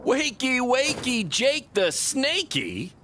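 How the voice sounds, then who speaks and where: An American small-time wrestling promoter for a video game. He is jokey and supportive, hugely animated in pitch, over faint ambience. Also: slow pace, background noise, heavily acted. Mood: playful, excited. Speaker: voice actor as wrestler's manager. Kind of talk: video game cutscene acting.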